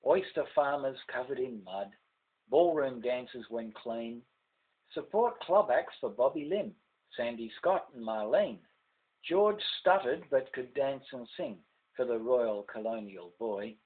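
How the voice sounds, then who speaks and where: A man's voice: mid-range voice, lively intonation, measured pace, phone-quality sound. An older man with an Australian accent reciting rhymed ballad verse with theatrical, emphatic phrasing. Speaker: amateur poet reading own verse.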